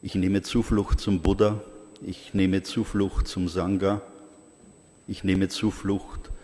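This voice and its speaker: A man's voice: deep voice, flat delivery, mellow, a little hiss or hum. A man with a heavy Austrian accent giving a formal, earnest conference speech, sometimes stumbling over words. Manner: earnest, halting on some words. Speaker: conference speaker. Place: large conference hall. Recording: long hall reverb.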